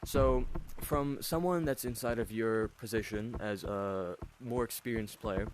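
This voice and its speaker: Deep-voiced teenage boy interviewer. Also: a little slow, crowd noise. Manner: deep voice for his age, rambling questions with many fillers. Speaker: host interviewing players. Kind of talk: casual online interview.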